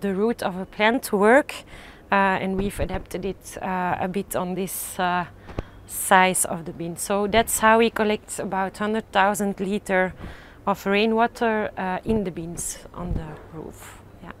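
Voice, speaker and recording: A woman's voice: mid-range voice, fairly even intonation, slight background noise. Woman giving a rooftop garden tour. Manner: halting, many uh fillers and restarts. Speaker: rooftop farm guide. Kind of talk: casual guided tour. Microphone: lapel mic.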